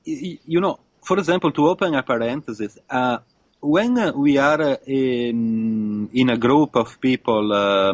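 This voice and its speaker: A man speaking as a guest in a reflective conversation, halting often with fillers and restarts. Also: mid-range voice, no echo. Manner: hesitant, many fillers and restarts. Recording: dull lo-fi dry sound.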